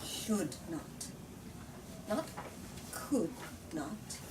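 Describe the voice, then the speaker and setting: Female storyteller and book-talk host, lowish voice, expressive and deliberate, recorded from a distance in a noisy room. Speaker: host of a book reading, reader. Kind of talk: dramatic storybook read-aloud with discussion. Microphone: far from the speaker.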